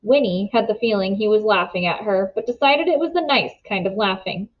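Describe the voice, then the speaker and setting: A woman narrating a children's novel aloud in an expressive storybook style, on a slightly muffled recording. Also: medium pitch, brisk. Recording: slightly dull, muffled sound.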